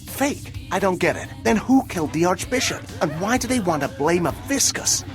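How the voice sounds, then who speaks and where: An actor playing an eager, naive young robot hero in a video game, animated and inquisitive. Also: male voice, high voice, moderate pace. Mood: puzzled. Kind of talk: video game cutscene acting. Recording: music behind the voice.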